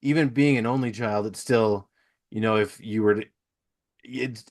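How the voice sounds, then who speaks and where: Lowish-voiced man, casual podcast host. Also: steady tone, moderate pace. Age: 30s. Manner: affirming, repeats and rephrases himself. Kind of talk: casual conversational interview.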